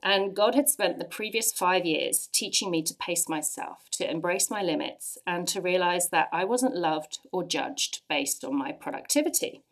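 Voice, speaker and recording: An English-accented woman in her forties gives a personal testimony to a church audience. Her voice sits a little low, and her storytelling is earnest, with lively bursts of emphasis. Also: moderate pace, few pauses. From England. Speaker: church speaker sharing testimony.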